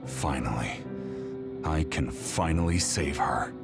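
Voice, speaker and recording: A really deep brooding game guy, desperate, music behind him. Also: takes it slowly, vocal fry, full character voice. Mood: grave, yearning. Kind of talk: dramatic video game voice acting.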